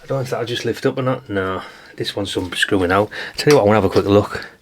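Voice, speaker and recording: A British man with a fairly low voice gives an off-the-cuff, hands-on commentary as a hobbyist host. He is chatty and informal, rambling a little as he thinks out loud. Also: fast talker, slight background noise. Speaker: hobbyist radio channel host. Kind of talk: casual hands-on teardown commentary.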